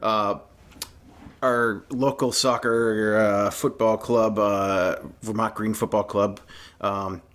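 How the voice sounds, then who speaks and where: A man with a loud, booming, low-pitched voice chats on a casual podcast. He gets animated and stumbles through restarts and fillers as he talks. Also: takes it slowly. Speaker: podcast co-host, union chief steward.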